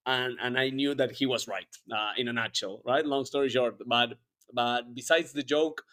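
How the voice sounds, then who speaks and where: Man in his thirties, guest on a podcast, chatty and rambling, mid-pitched. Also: fairly even intonation, normal speed, fairly bright tone. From Argentina. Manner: rambling, frequent fillers and restarts. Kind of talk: casual interview chat.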